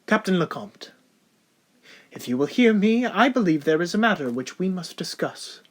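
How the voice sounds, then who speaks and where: Man acting an upright, high-voiced young-sounding lieutenant. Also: sing-song, normal speed. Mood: stern, demanding. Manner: earnest, formal, declamatory. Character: upright, honorable, indignant military lieutenant. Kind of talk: stage-play style period audio drama acting.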